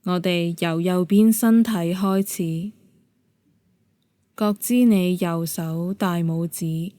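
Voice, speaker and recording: A woman with a Hong Kong Cantonese accent leading a guided meditation in a calm, gentle, measured manner. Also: lowish voice, no room sound. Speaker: yoga nidra meditation guide.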